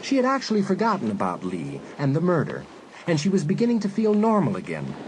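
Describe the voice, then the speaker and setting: A man's voice: a little high, huge pitch swings, fast talker, noisy background. A man narrating a suspense story as a dramatic scripted audiobook. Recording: music bed under narration.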